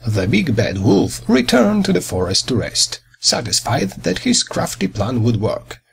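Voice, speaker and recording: A man reading a children's fairy tale as a storyteller, with a dramatic, expressive delivery and a husky, gravelly voice. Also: mid-range voice, unhurried, a little hiss or hum.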